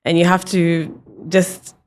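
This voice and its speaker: A woman from Ghana, a business owner, answering interview questions in a studio in a measured, firm and deliberate way. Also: fairly low pitch, level tone, darkish tone. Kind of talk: interview conversation.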